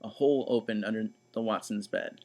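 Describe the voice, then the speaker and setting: Gravelly male storybook narrator. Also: fairly low pitch, brisk, darkish tone. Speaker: read-aloud storyteller. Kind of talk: expressive scripted children's story narration.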